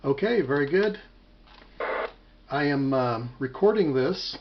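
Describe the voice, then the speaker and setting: An American man talking casually as an amateur radio operator, measured and a little hesitant, on a muffled home recording. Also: medium pitch, lively intonation, slight background noise.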